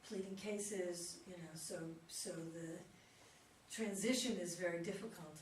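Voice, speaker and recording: A woman's voice: fairly low pitch, measured pace. A woman leading a casual post-reading discussion, chatty and affirming, laughing often. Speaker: discussion moderator. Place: theater room with a group. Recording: roomy, distant, faint noise.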